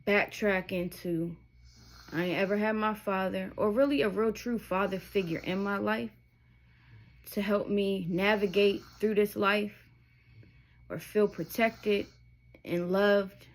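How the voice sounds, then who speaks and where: A woman's voice: mid-range voice, fairly even intonation, takes it slowly. A woman of thirty telling her life story to her viewers, candid and emotional, rambling with asides as she goes. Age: 30s. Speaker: vlogger. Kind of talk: personal testimony to camera.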